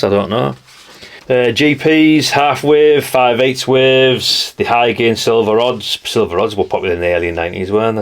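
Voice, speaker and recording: A man's voice: medium pitch, creaky. A middle-aged man with a North East English accent, giving casual, rambling commentary in a chatty, matter-of-fact way, often ending remarks with tag questions. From North East England. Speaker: vlogger.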